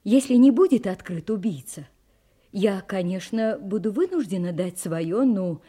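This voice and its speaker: Dark-voiced, guarded young woman. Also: medium pitch, expressive. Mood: guarded, resolute. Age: young adult. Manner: reserved, deliberate, resolute. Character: guarded, dignified young woman held as suspect. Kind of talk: radio play acting.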